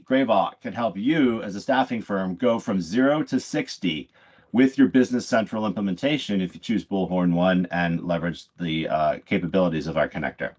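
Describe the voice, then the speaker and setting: A man giving a live software demo in an explanatory, conversational way, often pausing mid-phrase. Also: fairly low pitch. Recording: dull, muffled lo-fi audio.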